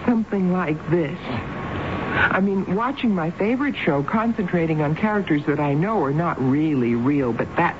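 Radio drama housewife: middle-aged, earnest, stubborn, very animated delivery, muffled broadcast. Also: female voice, deep voice, normal speed. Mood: defensive, insistent. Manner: defensive, emphatic, hesitant. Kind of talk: 1970s radio drama acting. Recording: muffled, noisy 1970s radio recording.

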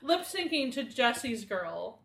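A woman's voice: on the high side, sing-song, slow pace, vocal fry, a crowd in the background. A woman co-host chatting on a podcast, teasing and giggly. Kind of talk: casual group chat.

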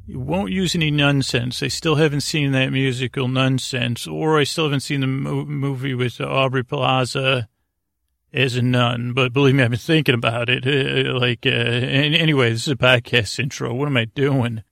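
A man hosting a bedtime podcast in a creaky, lulling voice, meandering and halting as he repeats and interrupts himself. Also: medium pitch, steady tone, a bit bright, no echo, clean studio sound. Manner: lulling, soothing. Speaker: podcast host. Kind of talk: rambling, improvised bedtime podcast monologue.

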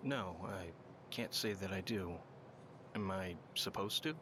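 Man playing a fragile haunted patient, gentle, halting, fearful, lowish voice, noisy background. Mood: polite.

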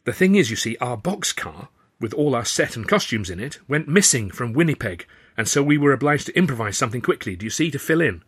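A man's voice: medium pitch, big pitch swings, fairly quick, breathy edge, pitched above the performer's own voice. A man voicing a flustered young male comic who hesitates and stammers while explaining.

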